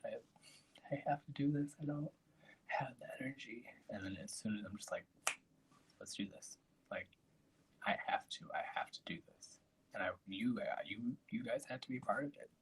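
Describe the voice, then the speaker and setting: Raspy, breathy man live streaming. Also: on the low side, rough recording. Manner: soft, breathy and rambling, with trailing asides. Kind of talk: casual live stream chat.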